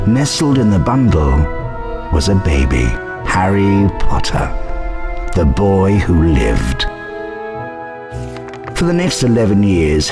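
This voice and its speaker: A man giving scripted storybook narration in a dramatic, measured manner. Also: low voice. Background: music.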